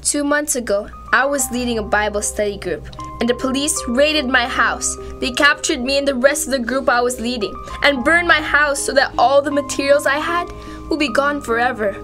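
A woman's voice: high voice, normal speed, over music, close to an everyday voice. A woman playing a devout, unafraid condemned prisoner, earnest and resolute, in an amateur radio drama. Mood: calm, earnest.

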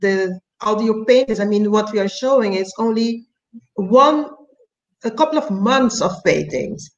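An older woman from Curaçao asks her questions in a mid-pitched voice, pausing often and rephrasing as she goes. The call audio is dull and lo-fi, with the muffled tone of a laptop microphone. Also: lively intonation. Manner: hesitant, many ums and rephrasings. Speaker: interviewer. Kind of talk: casual video call interview.

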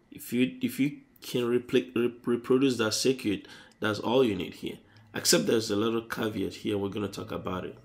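Guy walking thru circuit diagrams, low gravelly voice, stops n corrects himself a lot. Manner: halting, self-correcting explanation. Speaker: electronics tutorial host.

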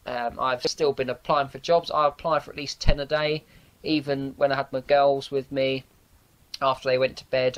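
An English man gives a casual sit-down update to camera. His voice sits at a middling pitch and his delivery is low-key and candid. He is recorded on a lapel mic in a small indoor cabin. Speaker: boat life vlogger.

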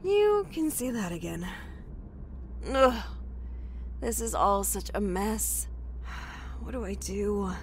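A woman's voice: mid-range voice, expressive, slow pace, pauses often, slight background noise. A woman acting a distraught, distrustful young woman in an audio drama, emotional and sardonic. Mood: weary, upset.

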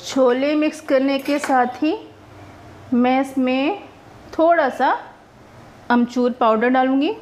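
A woman's voice: high voice, fairly even intonation, slow, pauses often, darkish tone, a little hiss or hum. A woman narrating her own cooking video step by step, chatty and homely, explaining each step as she cooks in her home kitchen. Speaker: cooking vlogger.

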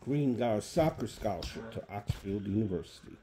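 A man's voice: fairly low pitch, animated, brisk, dark tone, a little hiss or hum. A man in his thirties, a father reading a children's book aloud at bedtime. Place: small child's bedroom. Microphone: phone held close.

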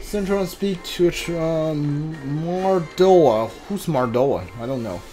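A man's voice: fairly high pitch, expressive, takes it slowly, mellow. Rambling male gamer over game music. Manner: rambling, thinking aloud. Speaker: gaming streamer. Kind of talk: casual playthrough commentary.

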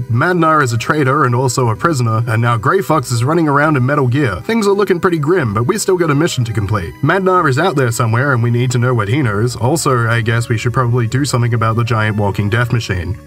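A man hosting a video game show in scripted, dry and sarcastic comedic narration, his voice a little rough. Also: medium pitch, fairly bright tone. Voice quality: a little rough from a recent illness. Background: game music under the voice-over.

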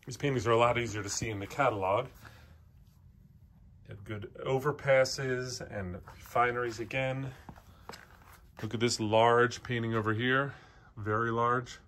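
A man with an average-pitched voice presents an informal walkthrough. He speaks off the cuff in short, halting phrases, pointing things out as he goes. It is recorded indoors on a handheld device. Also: steady tone, a bit bright. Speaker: auction house presenter. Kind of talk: unscripted walkthrough narration, pointing out items. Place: indoor antiques showroom, walking around.

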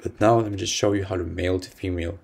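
Deep-voiced young man presenting a tutorial. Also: steady tone, racing, gravelly, a little mellow. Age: young adult. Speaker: software demo host. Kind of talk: upbeat scripted tutorial vlog to camera. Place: small indoor attic room at home.

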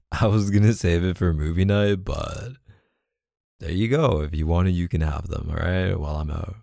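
A man's voice: sits low, a little slow, creaky, pauses often, mellow, no room sound, clean studio sound. A man playing a laid-back, apologetic boyfriend in an intimate audio roleplay, talking casually and hesitantly with coaxing pet names. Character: laid-back, apologetic young boyfriend soothing his neglected partner. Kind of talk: boyfriend ASMR roleplay, casual intimate one-sided dialogue.

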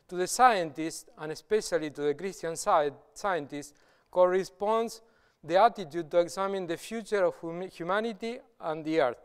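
A middle-aged man from Argentina with a strong Argentinian Spanish accent, giving a public lecture at a podium microphone in a large hall, with halting restarts. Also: high voice, clear, resonant tone. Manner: halting, with frequent restarts and gestures. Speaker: guest lecturer. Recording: reverberant hall.

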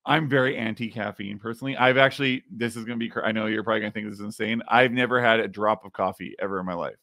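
A man in his thirties, slightly raspy, hosting a casual podcast and explaining things with plenty of fillers, recorded in a home studio. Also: on the low side, fairly even intonation, rapid-fire, pushed and bright, dry recording. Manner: explains while screen-sharing, many fillers. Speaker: podcast host. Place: home studio with neon sign.

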